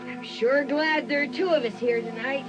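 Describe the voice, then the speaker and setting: A woman's voice: sits high, moderate pace, natural voice. A woman playing a wary police patrol officer on night duty in a dramatised audiobook. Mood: uneasy. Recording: music behind the voice.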